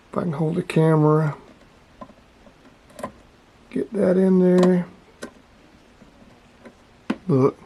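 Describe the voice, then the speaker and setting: Man, slightly higher-pitched voice, casual hands-on product demo, plainspoken, explanatory. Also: dark tone. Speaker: hobby radio vlogger.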